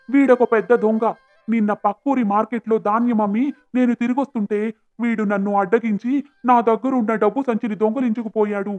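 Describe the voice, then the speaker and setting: Man acting a shrill, loud village accuser. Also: dull audio, close to an everyday voice. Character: lying, brazen village man, aggressive false accuser. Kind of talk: cartoon moral-story acting.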